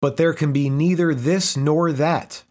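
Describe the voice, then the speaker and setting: Man, fairly high voice, scripted narration, steady reading with pauses. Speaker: reader.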